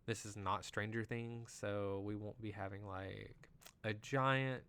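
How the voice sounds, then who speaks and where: A man with a nasal voice, just getting over an illness, chatting excitably through casual live gameplay commentary as a game streamer. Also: lowish voice, takes it slowly. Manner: chatty and excitable, lots of "like" and "you know". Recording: loud background noise.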